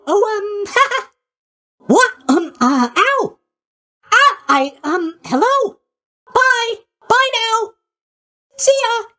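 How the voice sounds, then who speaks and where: A man's voice: extremely high pitch, pushed and bright, exaggerated voice. A man voicing a boyish, sleepy god of sleep in a video game, with a bubbly, scatterbrained, casually tactless manner. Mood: flustered, then cheerful greeting. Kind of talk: animated video game voice acting.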